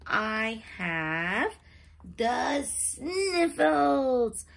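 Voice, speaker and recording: A woman's voice: fairly high pitch, big pitch swings, drawn-out words, gravelly, a bit bright, pitched above the performer's own voice, exaggerated voice. A woman voicing a sniffly little pony boy who sneezes and coughs, in a storybook reading. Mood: stuffy. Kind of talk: read-aloud storybook voice.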